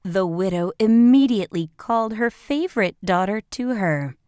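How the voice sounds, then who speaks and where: A woman narrating a children's bedtime story in an expressive, measured storybook style. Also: mid-range voice, smooth, dry recording, clean studio sound.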